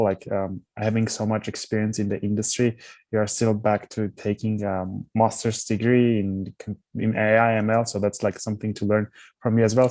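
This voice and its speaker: A man's voice: medium pitch, a little mellow, no room sound. A young man from Armenia, a startup founder answering interview questions thoughtfully, often restarting his words.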